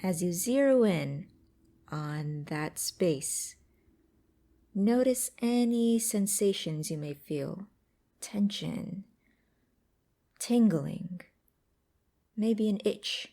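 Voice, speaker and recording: Woman, meditation guide, mellow tone, gravelly vocal fry, gentle unhurried phrasing. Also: mid-range voice, animated. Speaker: mindfulness exercise guide.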